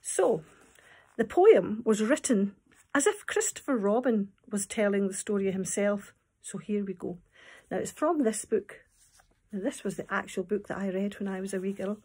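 A Scottish woman in her fifties talking and reading to young children at storytime, expressive, in simple phrases with questions to the listeners. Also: mid-range voice, normal speed, husky. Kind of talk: storytime talk and poem reading for small children. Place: small room at home, indoors. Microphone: phone or tablet at arm's length.

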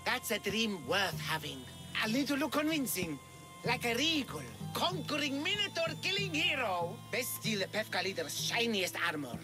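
A man voicing a hapless, cowardly villager who poses as a monster slayer, theatrical, bumbling and excitable, in a video game. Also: very high voice, normal speed, over music. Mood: hopeful, scheming. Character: hapless, melodramatic, cowardly male villager posing as Minotaur slayer. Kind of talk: video game character acting.

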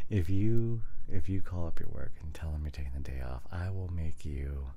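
A man doing an unscripted intimate ASMR roleplay as a drowsy, affectionate boyfriend, speaking softly and often slipping into a whisper. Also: very deep voice, normal speed, vocal fry, slight background noise. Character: sleepy, lazy, affectionate boyfriend in bed. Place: recorded in bed.

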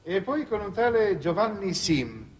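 An actor playing a dry government aide giving a briefing in a 1960s television drama. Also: male voice, high voice, sing-song, a little slow, lo-fi sound. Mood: matter-of-fact. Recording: noisy old television soundtrack.

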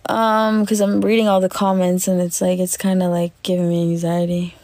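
A young woman with a self-described deep voice, her tone low, mellow and full of vocal fry. She chats loosely into a phone held at arm's length while lounging in bed, filling her sentences with "like." The sound is close and dry. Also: flat delivery, moderate pace, dry recording. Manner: chatty, filler-heavy, lots of "like". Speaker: livestreamer. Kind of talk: casual livestream chat reading comments. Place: bedroom, lying on a bed indoors.

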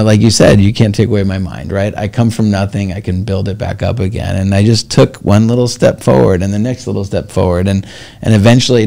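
A middle-aged man hosting a podcast, talking in a chatty, earnest way full of fillers, on a desk mic in a bright office studio. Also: on the low side, gravelly. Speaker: podcast host. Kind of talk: casual interview chat. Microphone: desk mic on boom arm.

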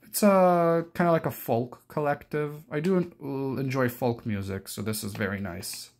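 A man's voice: mid-range voice, normal speed, darkish tone. A young adult man giving a casual, unscripted show-and-tell, rambling hesitantly and often correcting himself. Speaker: collector presenting his cassette collection.

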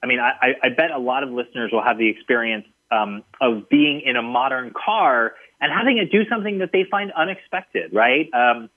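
A man's voice: medium pitch, animated, fairly quick, few pauses. Man on a tinny phone line. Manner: thoughtful, many fillers and restarts. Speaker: guest author. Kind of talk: conversational interview answers.

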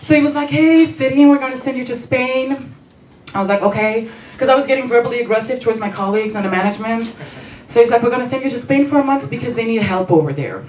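A woman's voice: on the high side, quick pace, darkish tone. Young female comic with Belgian accent, playful stand-up delivery, echoey and distant club sound. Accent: non-native English, Belgian. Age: young adult. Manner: playful, performative, acts out dialogue. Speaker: stand-up comedian. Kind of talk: stand-up comedy storytelling. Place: small comedy club stage, indoors. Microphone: handheld stage mic, recorded by distant camera. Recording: roomy, distant, noisy club recording.

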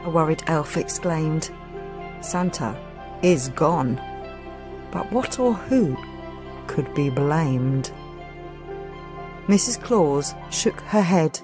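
A woman reading a children's story aloud as dramatic, expressive, suspenseful narration. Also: low voice, slow pace, long pauses. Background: music.

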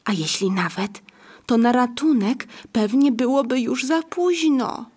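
A woman narrating a scripted children's story in a breathy, husky voice. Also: on the high side, a little slow, a little mellow.

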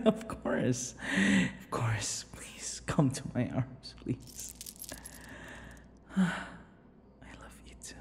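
A man voicing an obsessive, menacing, centuries-old vampire lover in an ASMR roleplay, breathy and dramatic, often dropping into whispers. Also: mid-range voice, sing-song, a little mellow, heavily acted. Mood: lovesick, elated. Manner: slow, dramatic, swinging between tender and unhinged. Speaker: audio roleplay performer. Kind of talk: ASMR boyfriend roleplay acting. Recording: echoey long reverb, faint noise.

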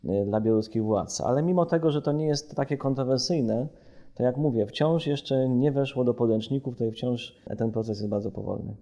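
A man's voice: mid-range voice, dark tone. A man speaking as an analyst in an interview, explaining with many qualifications and self-corrections. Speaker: policy analyst and report author.

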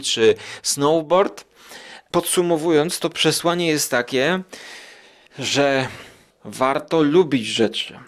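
A man hosting a podcast in a casual, digressive monologue, musing aloud with hesitant pauses and tag questions. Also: on the high side, fairly bright tone. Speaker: podcast host.

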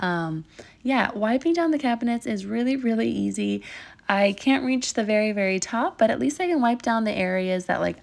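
A woman's voice: medium pitch, vocal fry. A young woman vlogger talking casually to her viewers about cleaning and home life, full of "like" and "um". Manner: chatty, many fillers like "like" and "um". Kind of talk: casual talk to camera.

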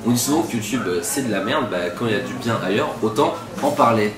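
A man's voice: medium pitch, lively intonation, fast talker. A man, co-hosting a web show in a casual, wry, colloquial way. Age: 20s. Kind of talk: casual presenting to camera. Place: diner booth, indoors. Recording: roomy sound under loud music.

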